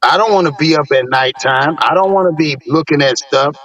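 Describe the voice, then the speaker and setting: A man with a raspy voice calling into a casual chat, preaching and repeating his points for emphasis. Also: fairly high pitch, brisk, fairly bright tone, dry recording. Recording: dull lo-fi call audio.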